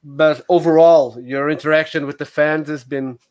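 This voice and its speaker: Higher-voiced Portuguese man hosting, dry sound. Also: steady tone, moderate pace, no echo. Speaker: stream host, interviewer. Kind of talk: casual interview chat.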